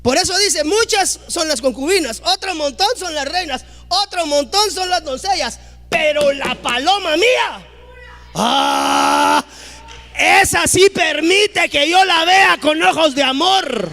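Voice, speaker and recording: High-voiced man preaching emphatically. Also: slow. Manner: emphatic, rising to loud exclamations, acting out quoted dialogue. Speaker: pastor. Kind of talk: preaching a sermon.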